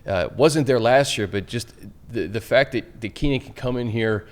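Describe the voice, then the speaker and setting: A man's voice: medium pitch, moderate pace. A man hosting a sports podcast, introducing a guest and asking questions. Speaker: podcast host.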